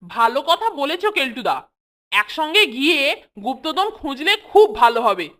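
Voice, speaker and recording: A woman voicing an eager, mischievous young schoolboy sidekick in a cartoon, with an excitable, plaintive way of talking. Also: high voice, moderate pace, heavily acted. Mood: eager, coaxing. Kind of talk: Bengali cartoon voice acting.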